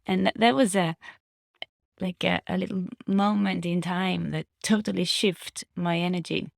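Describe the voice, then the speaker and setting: Low-pitched, creaky-voiced woman reflecting casually. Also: steady tone, dry recording, studio quality. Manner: halting, searching for words, restating phrases. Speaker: vlogger sharing personal reflections. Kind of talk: casual reflective monologue to viewers.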